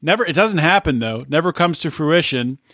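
A man hosting an interview podcast, explaining things in a measured way, with halting restarts as he sets up his guest. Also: on the high side, animated, bright, forceful tone, no echo, studio quality. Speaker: podcast host. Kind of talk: casual interview.

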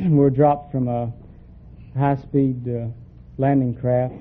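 A man's voice: mid-range voice, level tone, takes it slowly, narrow, tinny audio. Young man, hesitant, thin old radio sound. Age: young adult. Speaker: navy frogman, quiz contestant. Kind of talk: plain, hesitant interview answers. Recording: thin, faintly noisy old radio recording.

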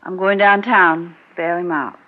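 An American actress playing a composed, resolute, quietly wistful married woman in a mid-century radio drama. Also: fairly low pitch, steady tone, measured pace, lightly acted. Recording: dull old radio recording.

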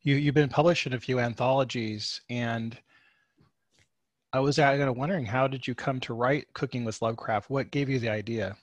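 A man hosting a podcast interview, asking friendly, relaxed questions in casual conversation. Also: medium pitch, moderate pace, no echo. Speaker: podcast host.

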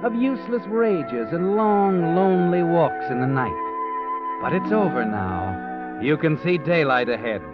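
A man voices a brooding narrator from an old radio mystery, talking straight to the hero in a lively, insinuating voice. The sound is dull and lo-fi, like an old broadcast recording. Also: medium pitch, natural voice. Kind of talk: 1940s radio drama narration.

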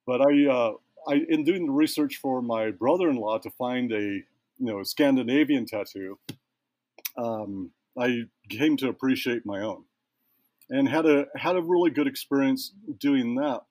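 A man's voice: medium pitch, normal speed, a little mellow, no echo. Thoughtful man telling a long story. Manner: thoughtful, meandering, trails off and restarts. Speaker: guest, ritual tattoo practitioner. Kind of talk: storytelling in a conversation.

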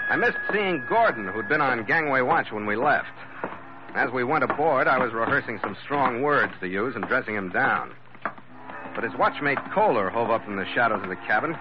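Muffled vintage radio sound with prominent music carries an actor playing a firm, seasoned ship's master. He tells his tale in clipped, measured phrases, like a log entry from a 1940s adventure serial. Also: mid-range voice, lightly acted. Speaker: lead actor and narrator.

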